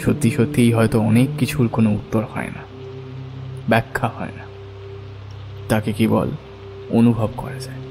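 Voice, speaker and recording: A man's voice: lowish voice, level tone, normal speed, creaky, long pauses, over music. A man narrating a scripted horror audio story in the first person.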